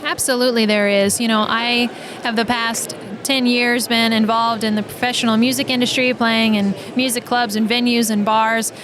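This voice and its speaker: A woman guest on a podcast, chatting casually and telling stories in an animated manner, with quoted asides. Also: fairly high pitch, normal speed, crowd noise. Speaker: podcast guest, audio drama writer. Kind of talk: casual interview chat. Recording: clear background noise.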